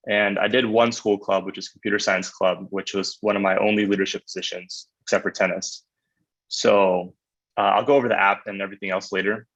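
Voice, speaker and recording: A man's voice: lowish voice, creaky, fairly bright tone, dull audio. A young man giving casual advice as a webinar panelist, laid-back and full of "like" and "um".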